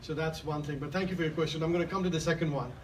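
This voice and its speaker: A man moderating a press question session, speaking haltingly with many restarts. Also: on the high side, steady tone. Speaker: event host and moderator. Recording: distant mic with background noise.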